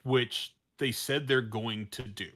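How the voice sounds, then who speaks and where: Male podcast co-host, mid-range voice, opinionated and incredulous, halting delivery with repeated restarts. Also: medium pitch, fairly even intonation, a bit bright. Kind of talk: casual opinionated discussion.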